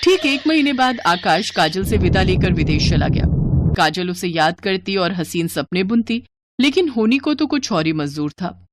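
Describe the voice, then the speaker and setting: Expressive woman narrating a story. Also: lowish voice, normal speed, very noisy. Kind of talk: scripted moral-story narration.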